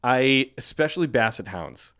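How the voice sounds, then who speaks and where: A man's voice: mid-range voice, big pitch swings, normal speed, a bit bright, slight background noise. Easygoing, jokey podcast co-host man. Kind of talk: casual podcast chat.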